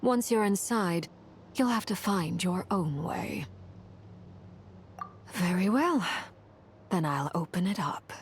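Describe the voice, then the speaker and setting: A woman's voice: fairly low pitch, animated, takes it slowly, long pauses, slight background noise. An actress playing a solemn, composed young woman who keeps mystical rituals in a fantasy game, grave and formal in manner. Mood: solemn, explaining.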